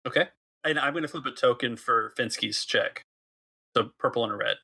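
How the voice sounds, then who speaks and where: A man's voice: medium pitch, fairly quick, gravelly, sharp tone, breathy edge. A man running a tabletop game as game master, describing the map and calling for dice checks.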